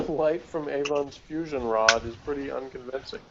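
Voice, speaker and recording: A man with an American accent talks through a casual review with wry, joking asides. The audio is dull and lo-fi, with clear background noise. His pitch sits in a middle range. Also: moderate pace. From United States. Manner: wry, joking, often chuckling. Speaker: co-host reviewing a TV episode.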